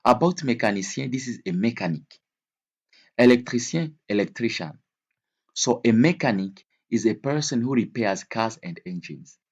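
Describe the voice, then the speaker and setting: A man's voice: medium pitch, lively intonation, normal speed, darkish tone, slightly rough. A man teaching an English vocabulary lesson in a didactic way, repeating key words and switching between languages.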